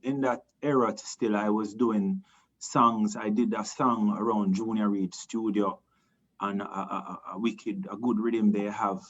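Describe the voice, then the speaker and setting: A man from Jamaica with a Jamaican accent, a reggae singer answering interview questions in a relaxed, rambling way, full of restarts and "you know". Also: on the low side, a little slow, dull audio. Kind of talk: casual interview talk.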